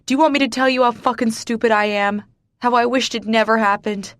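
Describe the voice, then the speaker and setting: A woman narrator voicing a grown man who is furious and heartbroken, shouting and cursing. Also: fairly high pitch, steady tone, brisk, no echo, pitched above the performer's own voice, natural voice. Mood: anguished. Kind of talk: audiobook character voice.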